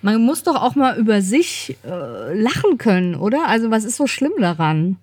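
A woman co-hosting a casual podcast, slightly congested from a cold, talking in an animated, colloquial way and often seeking agreement. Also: medium pitch, moderate pace, no echo. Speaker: podcast co-host.

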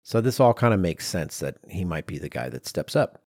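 A man's voice: low-pitched, very animated, fairly quick, a little mellow, dry recording, studio quality. A middle-aged man co-hosting a podcast, telling researched stories informally with personal asides. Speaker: podcast co-host. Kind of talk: conversational storytelling from research.

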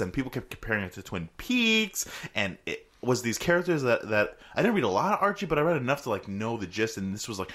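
Excitable guy co-hosting a podcast, voice bounces all over, super casual. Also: mid-range voice. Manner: enthusiastic, animated, joking. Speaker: podcast co-host. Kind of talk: casual chat.

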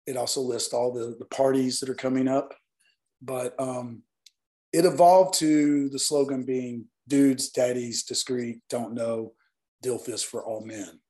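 A hoarse man from Northeast Alabama, a guest talking earnestly and at length in an interview. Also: mid-range voice, fairly even intonation, a little slow, warm tone. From Northeast Alabama, US South. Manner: earnest, rambling explanations. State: hoarse, partly lost voice. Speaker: guest, nightlife event promoter. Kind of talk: casual interview talk.

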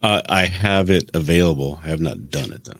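Low-voiced man in a dry setting. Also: fairly quick, vocal fry, fairly bright tone, dry recording. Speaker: co-host or panelist on a tech show. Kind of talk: casual tech chat.

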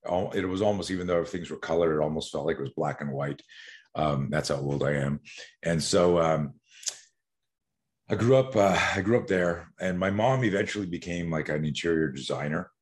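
A man in his sixties, from Canada, with a cavernously deep voice. He tells his stories as a podcast guest in a loose, animated way, often looping back and starting his sentences over. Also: normal speed. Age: 60s. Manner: animated, rambling storyteller with many restarts. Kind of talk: casual podcast interview.

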